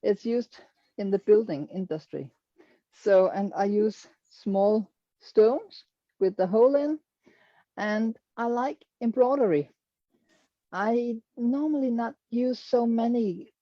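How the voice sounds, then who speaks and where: A woman's voice: fairly high pitch, no room sound, lo-fi sound. A middle-aged Danish woman with a Danish accent, showing her quilts to an audience in hesitant English, often searching for words. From Denmark. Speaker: quilter presenting her work. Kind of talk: presentation to an online audience.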